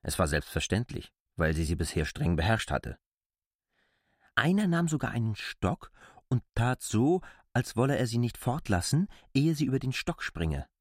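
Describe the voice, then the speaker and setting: A man with a husky voice giving an animated narration in a literary audio drama. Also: mid-range voice, normal speed, dry recording, studio quality.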